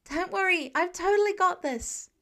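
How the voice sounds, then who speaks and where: A woman's voice: very high voice, normal speed, vocal fry, pushed and bright, voice pushed up, exaggerated voice. A woman voicing an eager, brave young male superhero in an audiobook story, urgent and earnest as a rescuer. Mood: determined.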